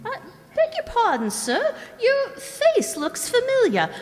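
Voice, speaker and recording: A woman's voice: very high voice, wildly swooping pitch, takes it slowly, heavily acted. A woman playing a chirpy, inquisitive serving girl in a radio play. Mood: curious. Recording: echoey hall, long reverb.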